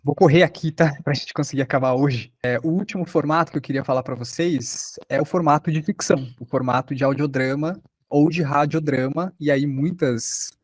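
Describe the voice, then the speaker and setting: A man's voice: a little high, moderate pace, few pauses, no echo. A man with a Brazilian accent giving an informal online lesson, explaining things as he thinks aloud. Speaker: workshop teacher.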